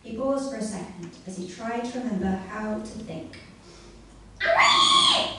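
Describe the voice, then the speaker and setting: A woman performing a scripted comic story live on stage, with a deliberate mock bedtime-story delivery. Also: mid-range voice. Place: live comedy venue, indoors. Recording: very echoey room, distant mic, faint noise.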